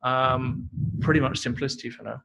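A man's voice: medium pitch, steady tone, moderate pace, gravelly, a bit bright, poor audio. Casual explaining man at tech meetup. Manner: casual, explanatory, many "you know" and "sort of". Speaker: tech talk co-presenter. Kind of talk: Q&A answers at a meetup. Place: meetup talk venue indoors.

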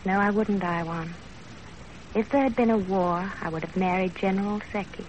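An actress playing a dutiful young Japanese wife in a 1930s radio drama, plain-spoken and firm. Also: female voice, medium pitch, moderate pace, highs cut off, close to an everyday voice. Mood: firm, devoted. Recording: noisy 1930s radio broadcast.

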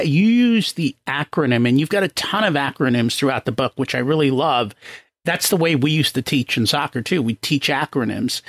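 A middle-aged man hosting a podcast interview, telling personal stories in a loud, animated way. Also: on the high side, no room sound, studio quality. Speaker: podcast host. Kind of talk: conversational interview.

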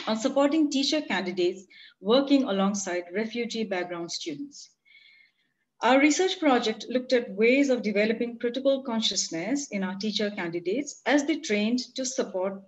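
A woman in her forties with an Indian accent, giving a prepared academic conference talk in a measured, earnest way over a video call. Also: on the high side. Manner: measured, earnest, read from notes. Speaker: conference presenter. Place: home room, video call. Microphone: computer mic on a video call. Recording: muffled lo-fi video call audio.

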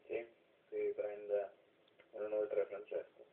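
A man's voice: on the low side, completely flat delivery, measured pace. A man speaking casually as an amateur radio operator on air. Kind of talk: casual radio sign-off chat. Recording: tinny narrow radio transmission.

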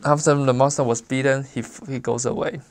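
A young man from China speaks accented English as a second language, pausing often to find his words and laughing easily. His voice is gravelly with a flat, even pitch. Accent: Chinese. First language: Chinese. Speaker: interviewee. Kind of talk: casual life-story interview.

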